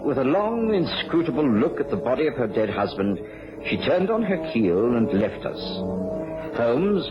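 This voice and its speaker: Radio drama: male actor as physician-narrator, formal and courteous, animated intonation, dull lo-fi sound. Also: medium pitch, lightly acted. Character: Doctor Watson, measured gentlemanly physician narrating a mystery.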